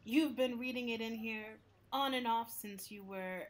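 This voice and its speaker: Woman voicing a slow-spoken, fussy library building. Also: fairly high pitch, close to an everyday voice. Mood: serene, candid. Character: talking public library building, gentle but fussy, adores silence. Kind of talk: radio play acting in interview form.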